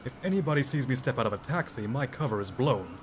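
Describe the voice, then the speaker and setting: An actor playing a dutiful, wry police detective in an audio drama, with a dry, earnest noir delivery. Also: male voice, medium pitch, lively intonation, fast, slight background noise, close to an everyday voice. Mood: stern, hushed.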